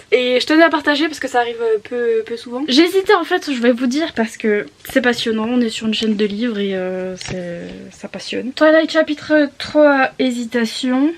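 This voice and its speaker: A woman chatting casually as a book vlogger, rambling off on tangents and poking fun at herself. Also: a little high. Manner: self-mocking, jokey, digressive. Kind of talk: casual rambling vlog chat.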